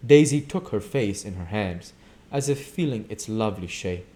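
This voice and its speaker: A man reading a classic novel aloud as an amateur audiobook reader, with deliberate, emphatic, theatrical delivery. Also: fairly low pitch, lively intonation, mellow.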